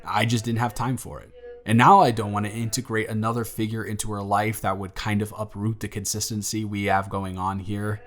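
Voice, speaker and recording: A man's voice: lowish voice, level tone, a little fast, fairly bright tone, faint music behind, close to an everyday voice. A man playing an earnest young single dad. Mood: tender. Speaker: father confessing feelings to the babysitter. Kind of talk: audio roleplay.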